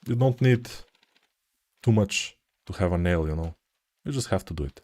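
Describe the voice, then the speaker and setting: A man's voice: low-pitched, animated, moderate pace, darkish tone. A man with a Bulgarian accent teaching 3D modeling on a live stream, chatting casually and answering viewer questions with many restarts and fillers. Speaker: 3D art instructor.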